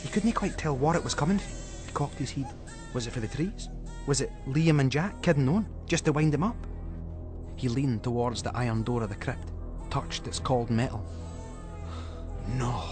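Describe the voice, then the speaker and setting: A Scottish man narrating a ghost story in Scots dialect, with an expressive, suspenseful storytelling delivery. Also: medium pitch, moderate pace, over music. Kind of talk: dramatic scripted narration of a ghost story for schools radio.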